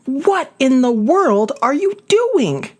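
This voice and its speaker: A caring, slightly bossy hedgehog friend from a picture book, acted out by a woman. The delivery is emphatic and reassuring, raised in pitch and very animated. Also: slow, heavily acted. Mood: insistent.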